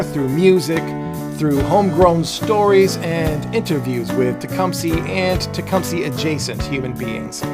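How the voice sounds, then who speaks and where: A man hosting a podcast, reading scripted narration with dry, playful humor. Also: a little high. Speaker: podcast host.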